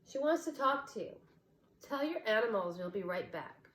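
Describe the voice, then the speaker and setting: A woman's voice: fairly high pitch, very animated, moderate pace, echoey room, voice pushed up. A woman voicing a teasing older sister in her early teens for a storybook reading.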